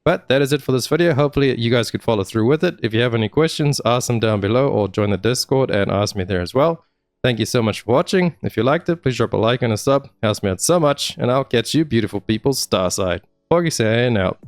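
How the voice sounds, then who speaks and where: A man's voice: medium pitch, animated, quick pace, dry recording. A man narrating a game walkthrough in a casual, informal, friendly way, speaking straight to viewers. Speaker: gaming video host. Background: music.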